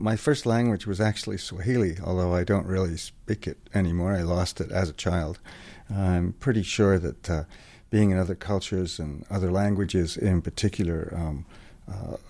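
A man's voice: sits low, normal speed, mellow. A middle-aged man from England answering a radio interview as a guest metalsmith, thoughtful and hesitant, with many ums and uhs.

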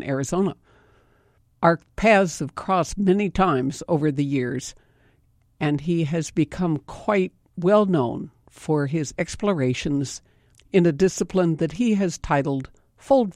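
A woman hosting a public radio arts program from the studio, speaking in a measured, articulate way as she introduces and interviews a guest. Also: low-pitched, a little mellow, no room sound. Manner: measured, articulate, spells things out. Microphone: studio microphone.

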